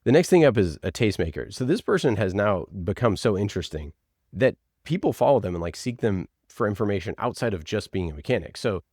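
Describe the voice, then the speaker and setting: Low-voiced guy explaining stuff casually, kinda halting, thinking out loud, really dry close sound. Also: sing-song, dry recording. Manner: informal, halting, thinks aloud with examples. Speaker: interview guest, podcast producer. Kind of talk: conversational explaining.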